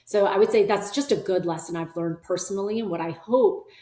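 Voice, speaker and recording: A middle-aged woman whose first language is Korean, speaking as a company leader in an interview, earnest and measured, often affirming with "yeah". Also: medium pitch, dark tone. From South Korea, raised in Arkansas. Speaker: company CEO, podcast guest. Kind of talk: reflective conversational interview. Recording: roomy echo.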